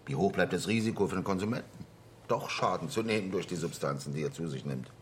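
Conservative male politician man acting, deep voice, sceptical, pointed, formal debate delivery, faint background noise, radio drama. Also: fast, natural voice.

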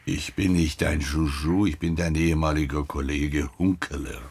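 A very low, rough-throated male voice plays an older ex-detective in a radio play. He is gruff and blunt, grumbling as he presses for answers. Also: fairly even intonation, normal speed, darkish tone, exaggerated voice. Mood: gruffly insistent. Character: gruff, insistent older retired police detective, a grandfather. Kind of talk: naturalistic radio drama dialogue.

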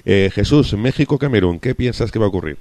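Deep-voiced man hosting a radio panel. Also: quick pace, no room sound. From Canary Islands. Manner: relaxed, chatty, leads the panel with friendly questions. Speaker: radio talk show host. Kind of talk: casual radio panel chat.

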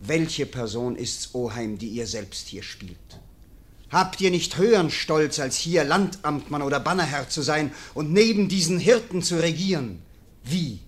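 A man's voice: on the high side, lively intonation, unhurried. An actor playing an ambitious young nobleman who argues his case earnestly and declaims in a classic radio play. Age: young adult.

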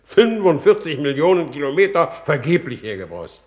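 A fed-up blustering minister of war, scornful and sarcastic, 1950s radio play. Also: male voice, a little high, big pitch swings, normal speed. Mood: exasperated, scornful.

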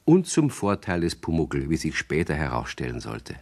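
Children's radio play narrator: low, lively intonation, friendly, chatty, measured storytelling. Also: male voice, full character voice. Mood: wry, knowing.

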